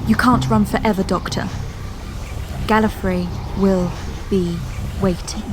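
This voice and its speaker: An actress voices a menacing, goddess-like villainess in an audio drama. Her mid-pitched voice is ominous and deliberate, set against music. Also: fairly even intonation, lightly acted. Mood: threatening. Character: menacing goddess-like female time-traveller villain.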